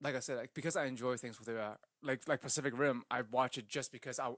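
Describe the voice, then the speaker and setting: A man's voice: mid-range voice, steady tone, fast, fairly bright tone, dry recording, clean studio sound. A man co-hosting a podcast in casual, argumentative banter, swearing freely and pressing his points. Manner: profane. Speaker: podcast co-host.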